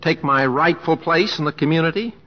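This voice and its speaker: Homespun, self-effacing Oklahoma humorist played by an actor, husky voice, vintage radio drama, dull sound. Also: male voice, on the high side, brisk, natural voice. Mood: worried, bantering. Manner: folksy, homespun grammar. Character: humble folksy cowboy humorist, drawling, wry, self-deprecating.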